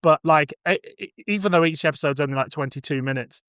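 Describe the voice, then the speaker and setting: A man's voice: on the high side, quick pace, fairly bright tone, smooth, no echo, clean studio sound. A man talking casually and reflectively as he reviews film and television. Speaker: podcast co-reviewer.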